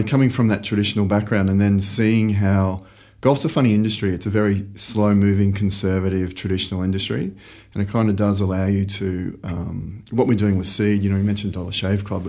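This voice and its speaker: Quick-talking man. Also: fairly low pitch, gravelly, husky. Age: middle-aged. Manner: relaxed storytelling with frequent restarts. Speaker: guest, company founder. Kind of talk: conversational interview answers. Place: office in a shared workspace.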